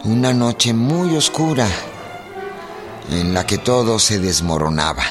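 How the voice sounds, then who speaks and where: An actor playing a solemn chronicler, narrating a radio drama. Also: male voice, on the low side, very animated. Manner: solemn, measured storytelling. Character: solemn chronicler of a revolutionary battle. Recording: loud music under the voice.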